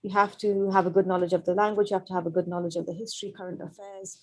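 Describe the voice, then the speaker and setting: A woman from Pakistan, a journalist, giving an earnest, measured talk over a video call. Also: lowish voice, flat delivery. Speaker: journalist, panel speaker. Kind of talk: prepared webinar talk.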